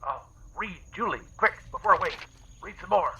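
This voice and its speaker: Nervy, wry male crew member, sharp forceful tone, dull lo-fi sound. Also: high-pitched, expressive, fairly quick, slight background noise, heavily acted. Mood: nervous. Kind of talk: audio drama comedy acting.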